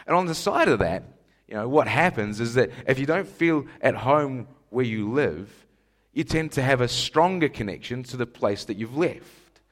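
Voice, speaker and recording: A man's voice: medium pitch, animated, normal speed. A man from Scotland, preaching a sermon in an emphatic style with rhetorical questions. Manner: emphatic rhetorical questions, rising to stressed lists.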